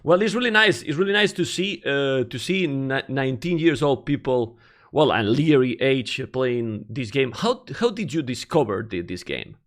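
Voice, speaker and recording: A man's voice: medium pitch, lively intonation, normal speed, fairly bright tone. A man with Spanish as his first language, hosting a casual interview over a video call, teasing and laughing often.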